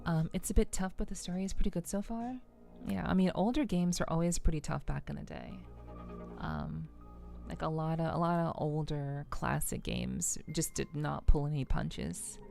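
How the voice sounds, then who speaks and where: Low, creaky-voiced woman streamer chatting. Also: sing-song, moderate pace, warm tone, faint music behind. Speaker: livestream host. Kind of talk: casual chat with livestream viewers.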